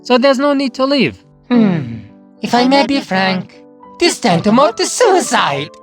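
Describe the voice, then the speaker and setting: A man voicing a mock-polite, condescending serpent who owns a haunted manor, with drawn-out theatrical delivery. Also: very high-pitched, sing-song, soft background music, voice pushed up, full character voice.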